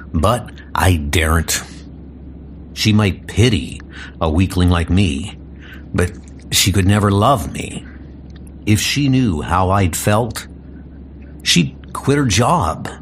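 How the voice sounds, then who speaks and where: A man's voice: deep voice, expressive, slow pace, long pauses, slight background noise, heavily acted. A man playing a frail, lame doctor in a comic audio drama, earnest and self-doubting. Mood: wistful.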